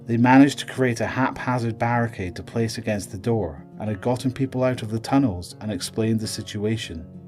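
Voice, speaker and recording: Low-voiced man narrating audio drama. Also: a little fast, a little mellow, faint music behind.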